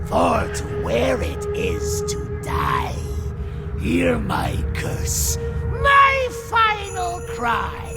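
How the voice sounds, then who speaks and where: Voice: extremely high pitch, vocal fry, exaggerated voice. An actor voicing a mad, gleeful jester-like spirit chanting rhymes in theatrical singsong for a video game. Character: mad cackling jester-like spirit reciting a rhyming curse.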